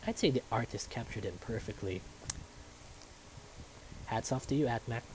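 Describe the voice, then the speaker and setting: A man reads visual novel narration aloud at a medium pitch. He tells the story line by line and occasionally trips on a word. The recording carries some background noise. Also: expressive, moderate pace.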